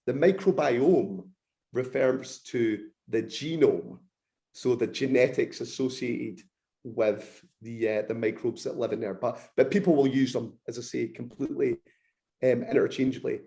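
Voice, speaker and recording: Croaky, excitable male researcher explaining. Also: medium pitch, measured pace. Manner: enthusiastic, technical explainer. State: croaky, slightly hoarse throat. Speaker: guest nutrition researcher. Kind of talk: podcast interview, explaining.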